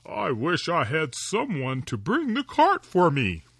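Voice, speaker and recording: Kindly poor woodman, plain and good-humored, slow and lively in pitch; a male reader voicing him. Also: husky, no echo, heavily acted. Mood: amused.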